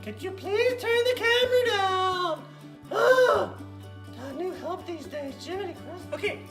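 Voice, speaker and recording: Squeaky, excitable little girl puppet. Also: a little slow. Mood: bossy, exasperated. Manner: rambling, repetitive, childlike. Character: high-voiced excitable bossy little girl puppet, easily distracted. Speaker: children's puppet show host. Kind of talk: playful improvised storytelling for kids. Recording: roomy echo, faint music behind.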